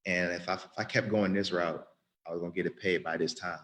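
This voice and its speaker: A man's voice: low-pitched, steady tone, fast, a bit bright. A man around thirty giving an earnest, rambling spoken testimony in church, often restarting and repeating himself. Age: about 30.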